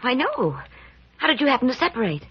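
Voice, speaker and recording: High, breathy anxious young woman. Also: sing-song, fairly quick, exaggerated voice. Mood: worried. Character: anxious young daughter of the doctor. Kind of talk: old-time radio drama acting. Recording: muffled vintage radio drama recording.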